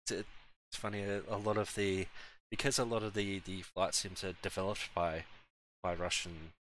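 A man with a somewhat low voice hosts a relaxed podcast chat. He talks in a laid-back way and often repeats words as he gets each sentence started. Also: moderate pace, slight background noise, poor audio. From Australia. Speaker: podcast host.

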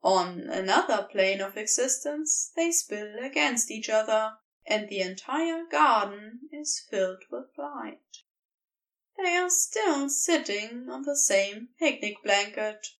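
A woman narrating a scripted story with measured, deliberate phrasing. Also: a little high, lively intonation, creaky, fairly bright tone, distant mic, rough recording. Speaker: podfic reader.

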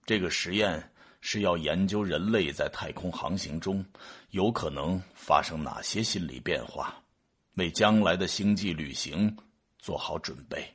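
Man acting a deep, husky chief scientist. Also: a little slow, fairly bright tone, exaggerated voice. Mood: calm, justifying. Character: calm, authoritative chief scientist revealing a secret experiment. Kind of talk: radio drama acting.

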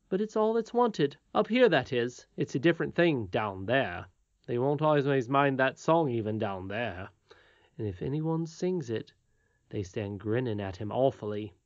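A woman's voice: rumbling low, a little mellow, no room sound, lo-fi sound. A woman reading a storybook aloud, voicing a confident, cheerful twelve-year-old miner boy with a frank, matter-of-fact manner.

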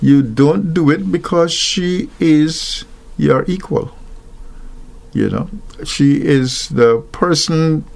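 A man from Guyana, likely older, talking thoughtfully about marriage, pausing often with fillers and restarting sentences. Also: a little high, gravelly, a little mellow. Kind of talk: reflective talk on marriage.

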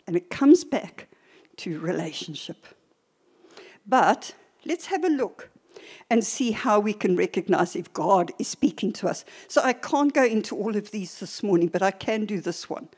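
An older woman preacher teaching a church sermon in a deliberate, emphatic way, with short pauses and repeated phrases. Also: medium pitch, animated, a little mellow, breathy edge.

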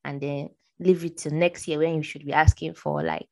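A woman's voice: deep voice, little pitch movement, moderate pace, gravelly, a little mellow, no echo. A woman hosting a podcast, chatty and laughing readily with her co-host. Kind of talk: casual chat.

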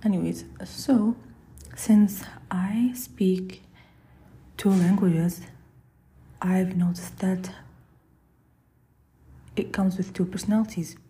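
A young woman whose first language is Arabic, hosting a solo podcast in a soft, often breathy and half-whispered, rambling way. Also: mid-range voice, slow, long pauses. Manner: relaxed, rambling, with fillers and restarts. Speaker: podcast host.

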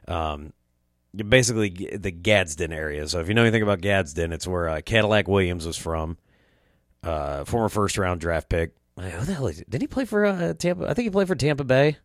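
A man's voice: sits low, animated, fairly quick, dry recording, studio quality. Laid-back, foul-mouthed man with allergies. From Quad Cities area, US Midwest. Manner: profane, rambling, laid-back storytelling. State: sore throat from allergies. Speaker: podcast host. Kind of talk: casual storytelling monologue.

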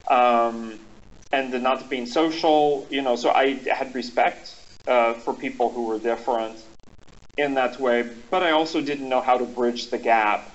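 A man's voice: medium pitch, a little slow. Reflective man, dull lo-fi sound. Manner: reflective, many ums and restarts. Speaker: guest expert. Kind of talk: thoughtful conversational explanation. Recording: dull lo-fi audio.

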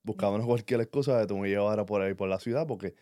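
A man's voice: lowish voice, fast talker, warm tone, no room sound. Earnest Puerto Rican man, dry sound. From Puerto Rico. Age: 30s. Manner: earnest, reflective, leans on "este" fillers. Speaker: podcast co-host. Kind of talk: casual personal chat.

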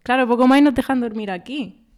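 A woman's voice: on the high side, fast talker, a little mellow. A woman co-hosting a casual podcast, laughing often and steering the talk. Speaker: podcast co-host. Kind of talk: casual banter.

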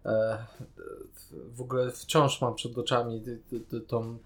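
A man's voice: medium pitch, little pitch movement, unhurried. A man talking casually as a podcast co-host, giving his opinions in an informal way. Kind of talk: casual review chat.

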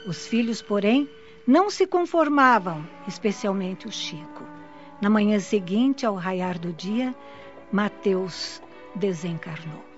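A woman narrating a scripted radio drama in a measured storytelling manner. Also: medium pitch, animated, faint music behind. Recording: dull lo-fi audio.

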